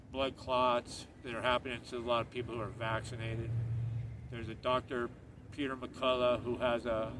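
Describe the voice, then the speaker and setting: Middle-aged man from Northern Ireland, rambling vlogger, monotone, recorded from off-mic outdoors. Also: medium pitch. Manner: earnest, rambling, repeats himself. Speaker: channel host. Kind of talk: casual monologue to camera. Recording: distant mic, street noise.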